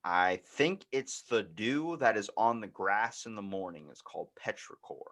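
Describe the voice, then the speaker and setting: A man's voice: on the low side, lively intonation, normal speed, fairly bright tone. A man hosting a podcast in casual, rambling chat, often acting out imagined bits of dialogue. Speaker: podcast host.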